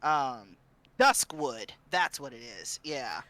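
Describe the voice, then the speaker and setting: A man chatting casually as a streamer on a panel, acting out reactions in an animated way. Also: mid-range voice, slow pace, crowd noise.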